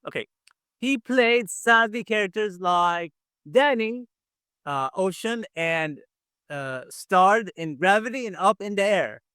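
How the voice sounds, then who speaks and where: A man's voice: high voice, bright, forceful tone, clean tone, no room sound, studio quality. A man casually hosting a quiz game on a video call, encouraging and often repeating himself. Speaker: quiz show host.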